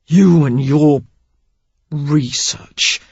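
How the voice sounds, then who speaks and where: A woman voicing a rough, intense man with a Cockney accent, speaking hoarsely and commandingly. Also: lowish voice, animated, a little slow, dry recording, lo-fi sound, heavily acted. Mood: strained. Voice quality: husky, hoarse.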